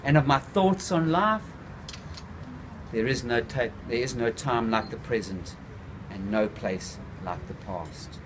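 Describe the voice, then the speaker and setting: Man, author reading his novel, slow brooding delivery, noisy recording, traffic behind. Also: medium pitch. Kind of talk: first-person literary narration.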